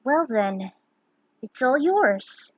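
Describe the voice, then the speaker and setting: A woman's voice: sits high, very animated, very slow, breathy edge, no echo, voice pushed up, full character voice. A woman acts out an earnest young woman in an adventure story. She is a caring old friend, recorded dry and close.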